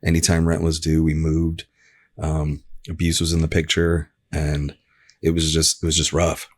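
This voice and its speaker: A low-voiced American man speaks as a guest on a podcast. He is from Arizona and tells personal stories in a candid, informal style. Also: completely flat delivery, normal speed. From Arizona, United States. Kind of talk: casual personal storytelling.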